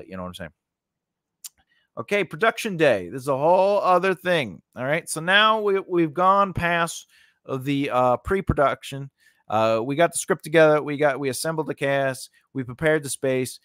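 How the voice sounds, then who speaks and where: A man's voice: mid-range voice, fairly bright tone, dry recording, clean studio sound. A man giving an informal instructional lesson, talking through points conversationally with frequent "you know" and "all right" asides. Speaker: screenwriting instructor.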